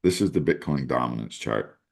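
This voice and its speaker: Man, exceptionally deep voice, casual chart commentary, conversational co-host. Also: expressive, a little fast, gravelly, a little mellow. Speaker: crypto show co-host, chart analyst.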